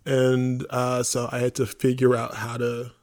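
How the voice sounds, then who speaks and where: A man's voice: medium pitch, monotone, a little slow, a little mellow. A man with an American accent chatting on a podcast as a theatre artistic director, in an informal, self-deprecating, rambling way. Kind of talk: casual conversational podcast talk.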